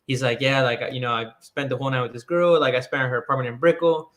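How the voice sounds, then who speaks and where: A man's voice: medium pitch, steady tone, fast talker, a bit bright. A man from Colombia talking loosely in a casual discussion, rambling with lots of "like" and restarts. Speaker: guest.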